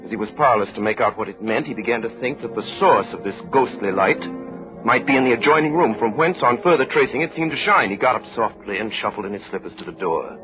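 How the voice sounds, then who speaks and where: A man narrating a story in a dramatic, measured 1930s radio drama style. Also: mid-range voice, animated, music in the background. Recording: muffled 1930s radio broadcast.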